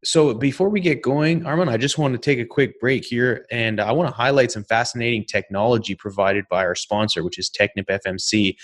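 Low-ish guy hosting a podcast, laid back, lots of "you know". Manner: relaxed, says "man" and "you know" a lot. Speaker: podcast host. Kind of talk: casual interview chat with read ad.

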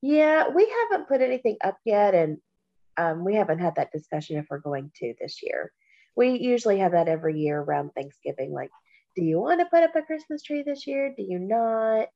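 A woman from Kentucky co-hosting a podcast, talking slowly and reflectively, with a stuffy nose from allergies. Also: mid-range voice, sing-song, lo-fi sound. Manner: slow, warm, reflective, with many pauses and fillers. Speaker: podcast co-host. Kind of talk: casual podcast chat.

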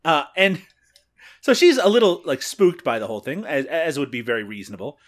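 Canadian guy on a movie podcast, kinda high voice that jumps around a lot, laughs a bunch. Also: very animated, a little fast. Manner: laughs often, recaps scenes. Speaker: podcast co-host. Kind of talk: casual movie review chat.

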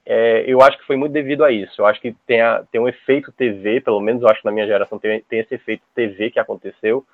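A man's voice: fairly low pitch, fairly even intonation, no room sound. Man from on a tinny line. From Ceará, Brazil. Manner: halting, many restarts and repeated words. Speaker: podcast guest. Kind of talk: casual podcast chat. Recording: dry tinny phone-like line.

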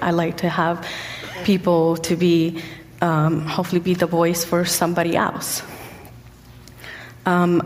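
A young woman from Egypt giving a personal testimony as a guest speaker, halting often with ums and pauses. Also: fairly low pitch, long reverb tail. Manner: hesitant, many ums and pauses. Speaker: guest speaker sharing her story.

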